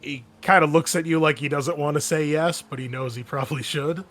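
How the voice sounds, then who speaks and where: A man running a tabletop game as game master, explaining and narrating casually in a halting, conversational way. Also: on the high side, fairly even intonation, fairly bright tone.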